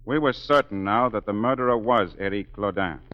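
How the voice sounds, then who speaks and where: An actor playing a suave, self-assured opera singer, acting and narrating in a polished 1940s radio style. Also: male voice, on the low side, moderate pace, muffled recording, over loud music, lightly acted. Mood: earnest.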